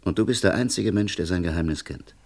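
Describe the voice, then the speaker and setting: Radio crime play: steady, insistent confidant, deep-pitched, reasons firmly and warns with emphasis. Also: male voice, quick pace. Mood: warning. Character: level-headed, insistent male friend giving stern advice. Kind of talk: vintage German radio crime play acting.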